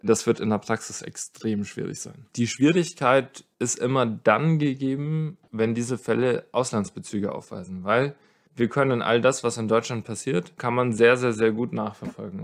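A man's voice: mid-range voice, fairly even intonation, normal speed, vocal fry. A man speaking as a legal expert in a podcast interview, explaining conversationally and thinking aloud. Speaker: lawyer, expert guest.

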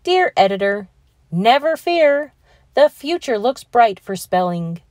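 A woman's voice: fairly high pitch, sing-song, slow, darkish tone, no room sound. A woman voicing earnest schoolchildren reciting a letter in a storybook, emphatic and declamatory. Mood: proud.

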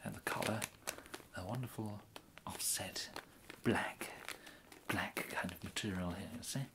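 A man in his thirties with an English accent playing a polite, fussy gentleman tailor in an ASMR roleplay, murmuring hesitantly and often slipping into a breathy whisper. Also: low-pitched, unhurried. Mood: courteous, pleased. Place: indoors, plain small room, close to camera.

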